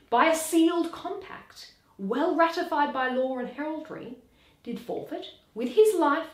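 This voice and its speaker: Woman playing a grave, slow-speaking nobleman. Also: fairly high pitch, echoey room. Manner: deliberate, declamatory, with hushed dips. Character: grave scholarly Danish nobleman Horatio, awed yet commanding. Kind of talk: dramatic Shakespeare verse reading.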